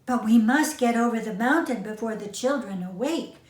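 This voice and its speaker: A woman's voice: on the high side, moderate pace, echoey room, close to an everyday voice. A woman voicing little dolls and toys from a children's storybook as they plead earnestly for help. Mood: pleading, urgent. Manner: earnest, imploring cries.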